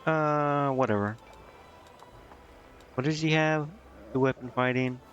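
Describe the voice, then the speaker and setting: A man's voice: mid-range voice, slow, fairly bright tone, lo-fi sound. A man doing casual video game commentary as a streamer, thinking aloud in a rambling, hesitant way. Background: game music.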